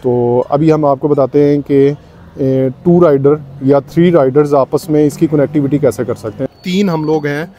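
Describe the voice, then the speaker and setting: A man's voice: a little high, normal speed, mellow, a little hiss or hum. A man, a vlogger demonstrating a product step by step in a tutorial style.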